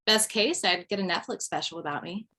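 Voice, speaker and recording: A woman playing a widowed mother in her forties who rambles through dark jokes with a wry, punchy comic delivery. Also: medium pitch, fairly quick. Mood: rambling, darkly comic. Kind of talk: table read of stand-up style monologue.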